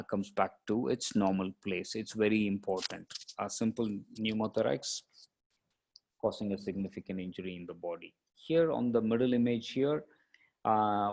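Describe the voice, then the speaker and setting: A man lecturing as a radiologist, explaining case images step by step in a halting, explanatory way. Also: fairly low pitch, a little mellow.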